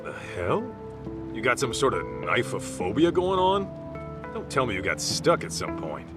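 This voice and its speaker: A man's voice: mid-range voice, huge pitch swings, a little slow, music in the background. An actor playing a streetwise middle-aged man with an American accent in a game dub, blunt, slangy and sardonic. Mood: wry, concerned. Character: gruff streetwise middle-aged man, brash protective mentor. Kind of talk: video game dub acting.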